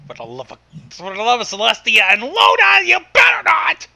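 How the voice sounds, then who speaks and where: A man's voice: extremely high pitch, wildly swooping pitch, brisk, vocal fry, sharp tone, no echo. A man giving casual, exasperated, sweary reactions to a story reading. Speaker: commentator on a fan fiction reading.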